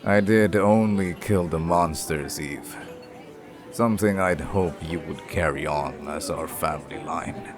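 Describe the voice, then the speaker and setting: A man's voice: low-pitched, over music. A man acting in an audio roleplay as a stern, unyielding middle-aged father who hunts monsters, speaking in a righteous, disapproving manner. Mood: disappointed. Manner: righteous, slow and measured, disapproving.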